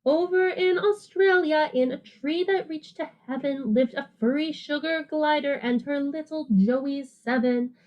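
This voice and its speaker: A woman teacher reading a picture book aloud to children in an animated, sing-song way, with simple, casual explanations. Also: sits high, a little slow, hardly any pauses, lo-fi sound.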